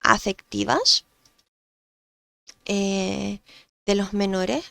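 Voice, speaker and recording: A Chilean woman speaks on a podcast in a nasal, stuffed-up voice with a strong gravelly fry running through it. She explains things patiently and stops often to hum and fill pauses. The sound is dry and close, with no room around it. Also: mid-range voice, pushed and bright, dry recording. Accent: Chilean Spanish. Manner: thoughtful, pauses with fillers. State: nasal from allergies. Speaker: guest psychologist.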